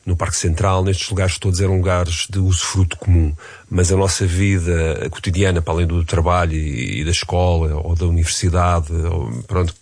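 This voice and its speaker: Deep-voiced, musing man with a European Portuguese accent. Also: steady tone, moderate pace, darkish tone, dry recording. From Portugal, Brandoa in Amadora. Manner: discursive, musing, with light laughter. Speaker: guest. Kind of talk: interview answers.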